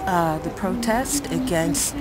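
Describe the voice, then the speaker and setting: A woman's voice: mid-range voice, lively intonation, slow pace, loud music behind the voice. A woman from Brooklyn at a rally, explaining her view while hesitating and correcting herself. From Brooklyn, New York. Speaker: rally participant. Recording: loud outdoor noise.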